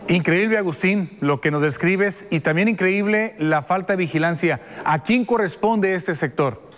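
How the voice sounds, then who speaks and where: A man's voice: high-pitched, normal speed, fairly bright tone, big-room sound. A middle-aged man from Mexico, anchoring the news in a studio and commenting with indignation. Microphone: lapel mic.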